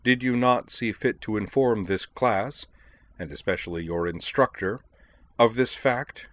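A man's voice: low-pitched, normal speed, fairly bright tone, no echo. A man voicing a cold, harsh, indignant male professor in an audiobook, formal and commanding in manner. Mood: cold, clipped. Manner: stern, cutting, formal.

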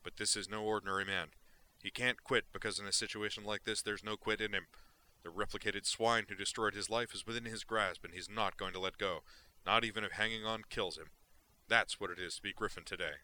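Guy doing a manic reporter, loud and punchy, bright tone. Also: lowish voice, steady tone, a little fast, a little hiss or hum. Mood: intense. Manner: emphatic, driving. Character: fast-talking male gonzo journalist, manic and intense.

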